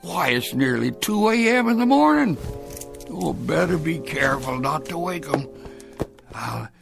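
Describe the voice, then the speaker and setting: A man's voice: on the high side, very animated, a little slow, vocal fry, soft background music, full character voice. An actor playing an anxious, fussy old grandfather in a radio drama, stammering and muttering as he goes. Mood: muttering to himself. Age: older. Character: anxious, doddering old grandfather devoted to his antique clock.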